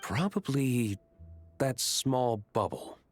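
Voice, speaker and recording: A man voicing a serious, reserved young gentleman in an anime game, explaining things in a measured, deadpan way. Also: mid-range voice, soft background music. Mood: dry.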